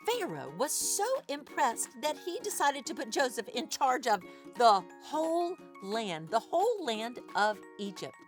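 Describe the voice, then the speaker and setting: A woman's voice: high voice, very animated, unhurried, hardly any pauses, soft background music. A woman hosting an interactive read-aloud for young children, exaggerated and questioning as she addresses listeners directly. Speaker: children's storytime host.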